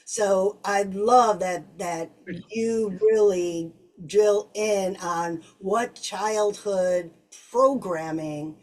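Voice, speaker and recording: A woman's voice: medium pitch, very slow, darkish tone, distant mic, rough recording. A woman in her fifties hosting a podcast interview, speaking in an upbeat, enthusiastic way. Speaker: podcast host. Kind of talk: casual interview chat.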